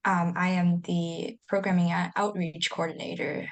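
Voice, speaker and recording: A young woman from China and Canada, a student club coordinator, speaking softly on a video call. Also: lowish voice, little pitch movement, moderate pace. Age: young adult.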